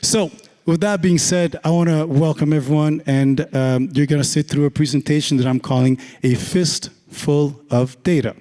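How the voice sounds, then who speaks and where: Hoarse male speaker, raspy and mellow, loose joking delivery, long hall reverb. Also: fairly high pitch, steady tone, moderate pace. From Canada. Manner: casual, joking, filler-heavy. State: hoarse, lost voice. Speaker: conference speaker. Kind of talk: conference talk. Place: large conference hall.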